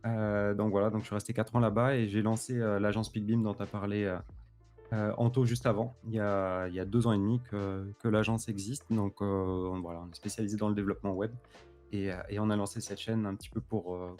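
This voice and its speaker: Male co-host, 30s, warm low voice, relaxed and conversational, loose phrasing. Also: a little fast, soft background music. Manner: relaxed, easygoing, repeats words as he goes. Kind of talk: livestream panel discussion.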